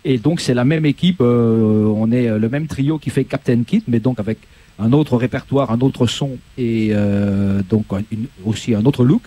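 Older man, 60s, singer-guitarist guest, relaxed, mellow tone. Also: medium pitch, a little slow. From Belgium, raised in Corsica. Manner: relaxed, plain-spoken answers. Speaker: guest musician.

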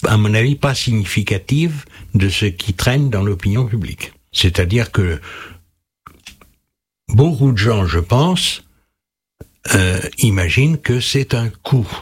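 A man's voice: lively intonation, unhurried, pauses often, slightly rough, faint music behind. A man on a talk show panel explaining a point in a didactic way, his voice jumping up for emphasis. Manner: didactic, pitch jumps up for emphasis. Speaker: panel expert.

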